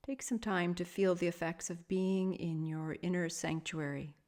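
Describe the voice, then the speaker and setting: A woman with a somewhat low voice leads a guided meditation as a yoga teacher. Her delivery is soft and soothing. She speaks in measured phrases and leaves long pauses between them. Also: fairly even intonation.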